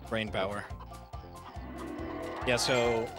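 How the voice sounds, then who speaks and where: Low-voiced man commentating over loud game music. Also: steady tone, very slow. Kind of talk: casual live gameplay commentary. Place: live gaming marathon at a hotel event.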